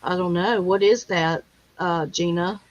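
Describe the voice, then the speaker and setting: A woman's voice: lowish voice, fairly even intonation, unhurried, no room sound, dull audio. A woman hosting a casual live-stream chat, talking in an everyday conversational way.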